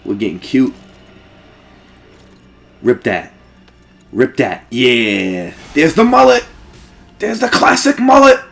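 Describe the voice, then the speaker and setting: A man's voice: mid-range voice, sing-song, slow, gravelly, pauses often, faint music behind. Exclamatory man doing casual game commentary. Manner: profane, jokey, exclamatory. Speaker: Let's Play host.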